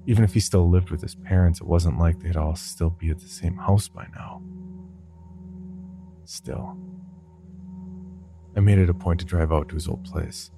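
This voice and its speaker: A man reads a first-person horror tale in a deep voice with a heavy gravelly fry. The tone is very dark and mellow, and faint music sits behind him. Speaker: horror podcast narrator. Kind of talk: scripted first-person horror story narration.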